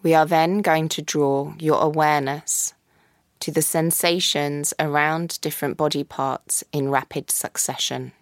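A woman guiding a yoga nidra practice in a slow, soft, calm voice with long pauses. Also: sits low, no room sound, clean studio sound. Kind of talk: guided meditation.

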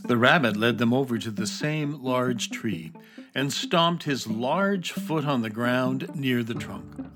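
A man's voice: mid-range voice, slow pace. Male storyteller over plucked-string music. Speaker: narrator. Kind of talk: scripted storybook narration for children.

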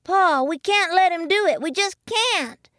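A woman's voice: very high voice, lively intonation, measured pace, sharp tone, no echo, studio quality, voice pushed up, full character voice. A woman voicing a small, eager young muskrat boy in a storybook, with an earnest folksy way of talking. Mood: pleading.

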